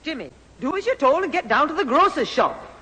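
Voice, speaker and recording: An exasperated mum, scolding and put-upon with very lively intonation. The radio comedy performance has a long reverb around it. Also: female voice, high-pitched, a little fast, faint music behind. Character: exasperated, sharp-tongued working mother and daughter. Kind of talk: 1970s radio sitcom acting. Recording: reverberant old radio recording.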